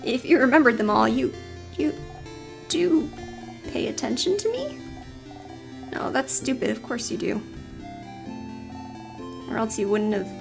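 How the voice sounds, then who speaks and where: A woman voicing a stubborn, excitable young child in a video game, blurting and insistent. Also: fairly high pitch, a little slow, gravelly, long pauses, over music, full character voice. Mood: flustered.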